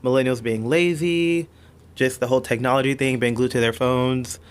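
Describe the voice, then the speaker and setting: A man's voice: mid-range voice, fairly even intonation, normal speed, clear, resonant tone. A man in his twenties giving casual answers as an interviewee. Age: 20s.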